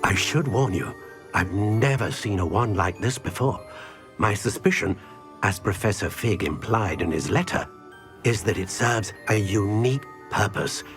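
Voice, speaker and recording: A courteous old wandmaker speaking with measured precision and a lively, rising lilt when something amazes him. His voice sits low, with soft game music underneath. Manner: measured, wondering, polite. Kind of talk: video game voice acting.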